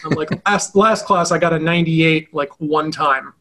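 A man's voice: a little high, normal speed, bright, forceful tone, slight background noise. A man co-hosting a podcast in casual chat, a little stuffy and nasal from allergies, rambling and chatty. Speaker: podcast co-host.

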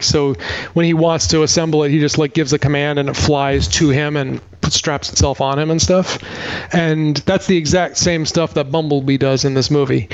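A man's voice: a little high, clear, resonant tone. A middle-aged man co-hosting a podcast, recapping a film in rambling, incredulous casual talk. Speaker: podcast co-host. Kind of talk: casual chat, movie recap. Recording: dull, band-limited podcast audio.

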